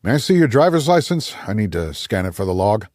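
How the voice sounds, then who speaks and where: A man's voice: fairly low pitch, very animated, brisk, a little mellow, dry recording, clean studio sound. A man voicing a large, polite gate guard, procedural and helpful, in an audiobook. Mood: businesslike.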